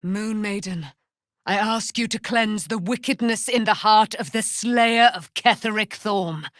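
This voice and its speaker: A woman's voice: medium pitch, measured pace, a bit bright, no room sound, studio quality, lightly acted. A woman playing a proud, righteous immortal warrior, daughter of a moon goddess, with grand, archaic, declamatory diction in a fantasy video game. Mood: impassioned, triumphant.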